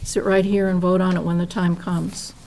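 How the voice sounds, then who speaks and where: Brisk woman chairing a town meeting. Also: on the low side, flat delivery, mellow, a little hiss or hum. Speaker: board chair.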